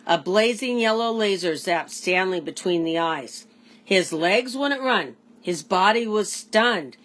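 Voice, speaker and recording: Animated woman reading a storybook aloud. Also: mid-range voice, a little slow. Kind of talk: expressive children's storybook read-aloud.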